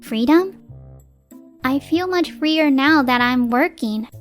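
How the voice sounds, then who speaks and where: A woman's voice: high-pitched, slow, soft background music. An actress playing a determined, exasperated young working wife and mother, narrating and speaking dialogue in a manga dub. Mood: indignant, firm. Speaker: narrator and lead character.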